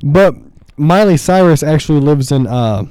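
A young man co-hosting a podcast, teasing his friend in a deadpan, mock-serious way. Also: a little high, moderate pace, clear, resonant tone, dry recording. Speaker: podcast co-host. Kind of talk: casual comedic chat.

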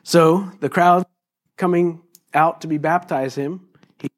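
A man in his fifties, a pastor, preaching a sermon in a loose, conversational way, his voice a little raspy today, with many fillers and restarts. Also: on the high side, fairly even intonation, slow pace. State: raspy voice this morning.

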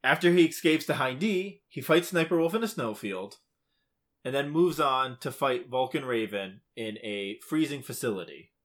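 Bright-voiced man recapping a video game. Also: medium pitch, lively intonation, normal speed. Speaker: podcast co-host.

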